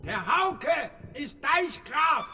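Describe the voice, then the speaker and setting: An actor in a German radio play as a gossiping, teasing village fiddler, with a sly, sing-song delivery. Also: male voice, very high voice, big pitch swings, drawn-out words, exaggerated voice. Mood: crowing. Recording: reverberant studio with loud fiddle music.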